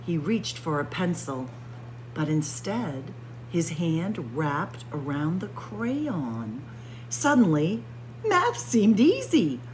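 Librarian, woman, slow and theatrical read-aloud, wide-ranging lowish voice, faint hum behind. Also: rough recording. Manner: dramatic, expressive storytelling with spooky whispers. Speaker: children's librarian. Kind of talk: picture book read-aloud. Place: library with bookshelves.

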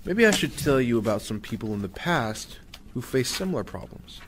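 Man playing a patient father, medium pitch. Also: big pitch swings, normal speed, background noise, natural voice. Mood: encouraging. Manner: reassuring, storytelling. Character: patient, encouraging father telling stories of faith. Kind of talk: audio drama acting.

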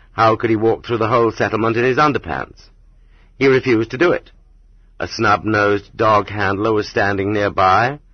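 Man, literary audiobook narration, measured and dramatic, dry close sound, slightly dull recording. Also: fairly low pitch, husky, no echo.

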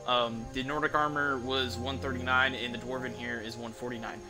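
A young man giving casual gameplay commentary in a rambling, halting way, streaming from an indoor room. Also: medium pitch, flat delivery, poor audio. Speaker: game streamer. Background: game music.

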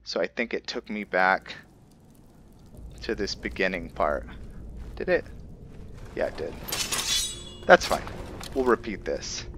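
Bright-voiced man doing casual game commentary. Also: low-pitched, animated, takes it slowly, pauses often, a little hiss or hum. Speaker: gaming video host. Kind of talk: casual gameplay commentary with role-play.

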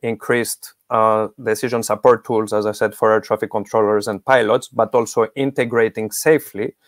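A man's voice: fairly low pitch, little pitch movement, normal speed, dry recording, clean studio sound. A middle-aged man giving explanatory interview answers as a guest expert on a video call. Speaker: guest expert, academic researcher.

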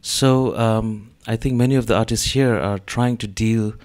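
A man with a lowish voice speaks on a panel before a seated gallery audience. He talks haltingly through a handheld mic, full of "uh" and "um". He sounds like a man in his thirties. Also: fairly even intonation, a little mellow. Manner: hesitant, many fillers. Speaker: panel artist. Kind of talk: panel discussion.

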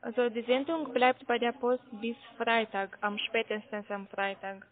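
A woman on a call-centre phone call, speaking politely and haltingly with non-native phrasing. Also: on the high side, steady tone. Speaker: phone caller about a parcel. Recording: narrow, tinny phone line.

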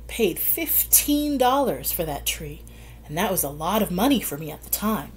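A woman's voice: on the low side, big pitch swings, moderate pace, a little hiss or hum. A woman voicing a wise, plainspoken older woman gardener in a storybook. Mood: reminiscing, proud.

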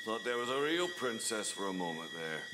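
An actor playing a scheming, mercenary squire, wry and given to exaggerated play-acting. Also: male voice, lowish voice, sing-song, a little fast. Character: scheming, mercenary squire humoring his master. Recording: loud background noise and ambience.

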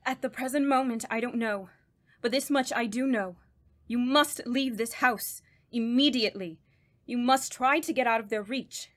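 Grave, higher-voiced woman doctor of the occult, played by an actress. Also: moderate pace, close to an everyday voice. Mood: urgent, commanding. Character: grave, commanding woman doctor who studies evil spirits. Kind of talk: radio horror drama acting.

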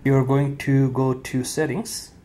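A man hosting a tech tutorial, talking casually off camera in an indoor room with frequent fillers and pauses. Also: medium pitch, a little hiss or hum. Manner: relaxed, halting with frequent "uh" fillers. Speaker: tech video host.

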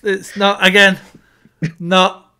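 A man, co-hosting a casual film chat over a video call with quick asides. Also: sits high, completely flat delivery, pushed and bright, clean tone. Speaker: podcast co-host. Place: home room on a video call.

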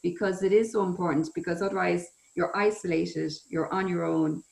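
A middle-aged woman hosts a panel over a webcam, her low voice carrying a gravelly edge. The room gives her speech a roomy echo. She pauses and restarts while gathering her thoughts. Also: fairly even intonation. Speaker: host, researcher. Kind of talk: moderating a panel on a video call. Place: room with wooden ceiling, indoors. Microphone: video call webcam. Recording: roomy, echoey webcam sound.

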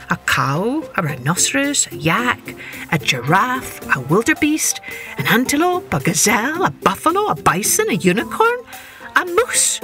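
A woman's voice: mid-range voice, fairly bright tone. A woman telling a children's story with dramatic, emphatic expression, as a podcast host.

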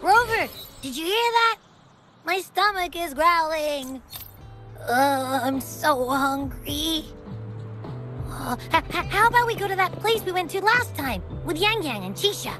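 Bright, high, boastful little creature. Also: female voice, lively intonation, unhurried, faint music behind, heavily acted. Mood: hungry, whiny. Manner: boastful, whiny, exclamatory. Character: boastful, gluttonous, childlike little creature companion, protective and excitable. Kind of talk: video game voice acting.

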